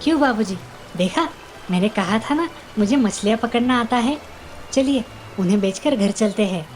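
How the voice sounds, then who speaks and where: A woman voicing a sweet, polite little village boy with an earnest, childlike manner in an animated fairy tale. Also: a little high, moderate pace, soft background music, natural voice. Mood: cheerful, polite.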